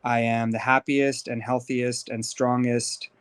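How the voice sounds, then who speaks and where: A man's voice: medium pitch, little pitch movement, a little slow, smooth. A man of thirty from Los Angeles, a life coach who is a podcast guest, telling his life story in an upbeat, candid way. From Los Angeles, USA. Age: 30s. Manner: upbeat, candid, talks freely with fillers. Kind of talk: casual interview storytelling.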